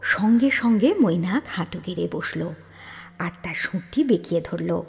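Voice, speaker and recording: Expressive, slow-paced woman storyteller with long reverb. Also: fairly low pitch, creaky. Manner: expressive, with dramatic pauses and emphasis. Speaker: storyteller reading an audio story. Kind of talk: dramatic literary story narration.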